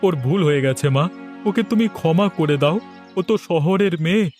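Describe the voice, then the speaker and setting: A man's voice: fairly high pitch, animated, a little fast, a little mellow, soft background music, natural voice. A man playing a dutiful, conciliatory adult son in a cartoon, speaking in an earnest, placating way. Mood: earnest, tender. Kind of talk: Bengali cartoon voice acting.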